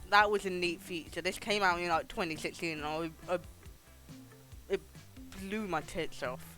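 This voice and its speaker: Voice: medium pitch, fairly even intonation, moderate pace, bright, forceful tone. A game streamer chatting casually and informally to viewers, with a sweary, chatty manner. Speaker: live streamer hosting a game playthrough. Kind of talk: casual gaming stream chat with some reading aloud of game text. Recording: music behind the voice. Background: music.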